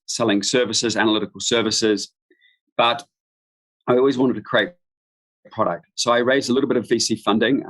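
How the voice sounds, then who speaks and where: A middle-aged man from New Zealand giving an informal live webinar introduction as a business expert, speaking in halting, stop-start phrases. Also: fairly low pitch, a bit bright, poor audio. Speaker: guest expert, business founder. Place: room at home.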